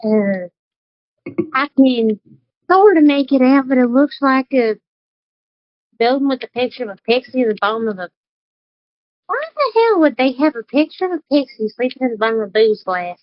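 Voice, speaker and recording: A wary, folksy outsider in a fantasy tabletop game, played by a woman. The voice is fairly high and close, blunt and skeptical in delivery. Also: big pitch swings, moderate pace, pauses often, dry recording, highs cut off. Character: wary, plain-spoken outsider adventurer. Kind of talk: acting a character in a tabletop game.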